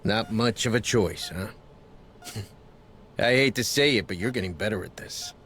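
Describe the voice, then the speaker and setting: An actor playing a wary, wry crewmate in a cinematic sci-fi game. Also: male voice, on the low side, animated, moderate pace, fairly bright tone, slight background noise.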